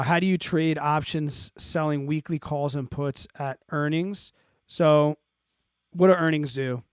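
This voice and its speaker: Higher-voiced man, casual and blunt. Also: clean tone, dry recording, clean studio sound. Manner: informal, blunt, thinks aloud with rhetorical check-ins. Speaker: host of an investing live stream. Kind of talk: casual off-the-cuff explaining, answering viewer questions.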